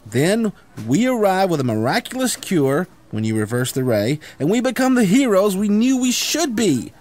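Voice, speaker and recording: Man acting a cocky grandiose schemer, higher-pitched, animated, persuasive, comedic radio drama. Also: normal speed. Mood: scheming, eager. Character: cocky, grandiose schemer, self-appointed leader of misfit would-be conquerors.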